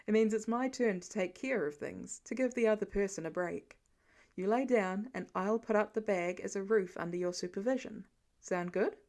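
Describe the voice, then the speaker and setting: A woman voicing a gentle, weary middle-aged father in an audiobook story, low-key and plain-spoken with a dry manner. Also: normal speed, natural voice. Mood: gentle, reassuring.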